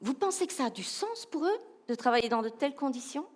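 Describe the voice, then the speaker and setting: Lively Belgian woman giving a keynote. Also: high-pitched, quick pace. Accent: Belgian French. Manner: animated, humorous, rhetorical questions to the audience. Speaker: keynote speaker, professional coach.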